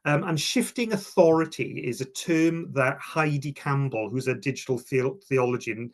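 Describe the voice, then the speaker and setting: A man's voice: mid-range voice, normal speed. Man giving a research talk. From Wales. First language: Welsh. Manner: earnest, many fillers and restarts. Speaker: presenter of research. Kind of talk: online presentation talk.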